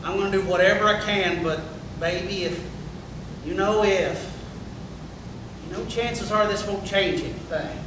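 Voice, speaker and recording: Man acting anguished husband, high voice, echoey room. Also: unhurried. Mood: tender, reluctant. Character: anguished, ashamed working-class husband and father. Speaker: actor at a play table reading. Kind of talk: staged dramatic reading. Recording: echoey room from far off, noisy rumble.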